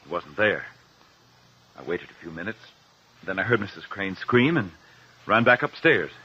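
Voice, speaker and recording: A man's voice: deep voice, very animated, moderate pace, pushed and bright, breathy edge, full character voice. A man playing an earnest, anxious doctor under questioning in a 1950s radio drama, explaining precisely. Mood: careful. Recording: muffled old radio recording.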